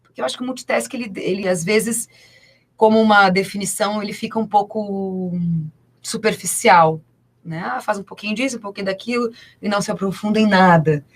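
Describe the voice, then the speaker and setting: A woman's voice: medium pitch, a little fast. A woman from southern Brazil speaks with a Brazilian accent as a guest in a radio studio. She is talkative and conversational, often checking in with little tag questions as she explains. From Rio Grande do Sul, Brazil. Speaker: guest, actress and producer. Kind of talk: conversational interview answers.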